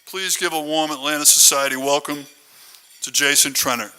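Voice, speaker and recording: A man's voice: a little high, fairly even intonation, normal speed, heavy background noise. A man hosting a conference, giving a formal, prepared introduction speech. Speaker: conference host introducing keynote speaker.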